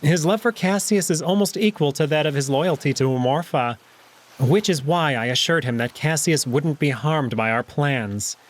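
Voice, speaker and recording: A man voicing a stern, scheming jackal noble in a visual novel, brisk, terse and commanding. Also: a little high, voice pushed up, lightly acted. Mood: quiet, assured.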